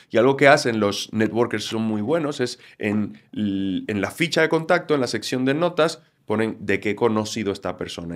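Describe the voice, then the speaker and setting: A Dominican man with a Galician-tinged Spanish accent, explaining things in a lively way as a guest on an interview podcast. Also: lowish voice. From Dominican Republic. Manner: animated, explanatory, acts out quotes. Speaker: communication trainer, guest.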